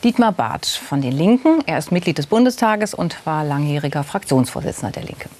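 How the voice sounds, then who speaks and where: A woman hosting a political discussion show, introducing the topic and her guests in a measured, formal way. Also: sits low, sing-song, a little mellow. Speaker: talk show host. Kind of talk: news moderation.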